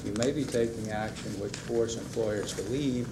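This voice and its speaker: A man giving a public comment at a school board meeting, deliberate and warning. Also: medium pitch, fairly even intonation. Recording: noisy 1990s public-access broadcast.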